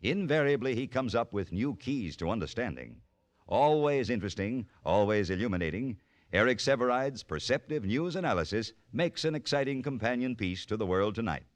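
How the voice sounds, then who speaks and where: Man, vintage radio announcer, mid-pitched, lively melodic delivery, formal, polished, scripted promo. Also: moderate pace.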